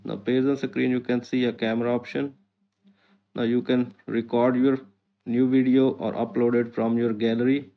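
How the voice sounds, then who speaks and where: A man narrates a scripted how-to guide as the host of a tutorial channel. His mid-pitched voice stays very even, with little rise or fall from one instruction to the next. The recording is somewhat dull and lo-fi. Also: moderate pace. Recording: muffled, dull lo-fi audio.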